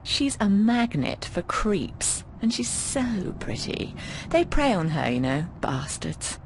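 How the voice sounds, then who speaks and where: An actress voicing a breezy, affectionate, bawdy landlady in a video game, chatting in an expressive, teasing way. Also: medium pitch, takes it slowly, slight background noise. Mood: protective, gossipy. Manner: expressive, teasing, calls people darling. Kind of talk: conversational video game voice acting.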